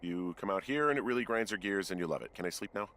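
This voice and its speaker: A man's voice: deep voice, lively intonation, fast, fairly bright tone. An actor playing a weary, sardonic man who is a new fire lookout in naturalistic video game dialogue, speaking in a dry, curt, hesitant way. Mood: dry, dismissive.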